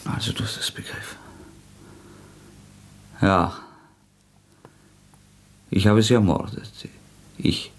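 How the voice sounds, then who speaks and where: A man's voice: deep voice, steady tone, slow pace, pauses often, whispered. An actor playing a tormented, defiant young man under suspicion in a German radio drama, tense, brooding and abrupt. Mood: anguished, confessing.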